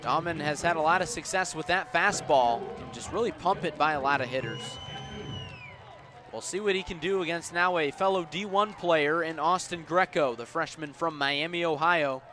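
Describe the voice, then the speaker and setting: A man calling baseball live on the radio, measured between pitches and punchier on plays, as an announcer does. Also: fairly bright tone. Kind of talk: live radio sports commentary. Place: outdoor baseball ballpark. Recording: outdoor ballpark ambient noise.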